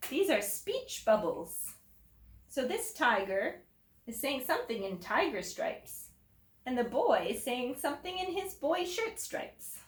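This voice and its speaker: A woman teacher reading a picture book aloud to children, explaining simply and deliberately and putting questions to her listeners. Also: sits high, sing-song. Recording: roomy echo, distant microphone.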